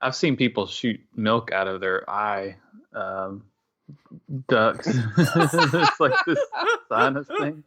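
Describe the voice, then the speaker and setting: A man's voice: medium pitch, slow pace, sharp tone. A man co-hosting a podcast in a casual, chatty way, recorded with slightly muffled sound. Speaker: podcast co-host. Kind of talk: casual chat.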